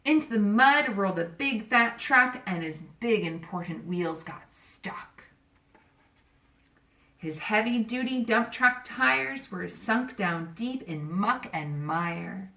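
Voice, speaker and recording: Animated woman reading a storybook, echoey room. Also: mid-range voice, creaky. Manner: expressive, deliberate rhyming delivery. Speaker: volunteer story reader. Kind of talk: children's storybook read-aloud. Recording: roomy echo, distant microphone.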